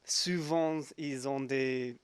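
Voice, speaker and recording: A man in his twenties with an English accent, a volunteer speaking learner's French haltingly, searching for words. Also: mid-range voice, fairly even intonation, smooth, dry recording. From England. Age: 20s. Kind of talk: interview testimony.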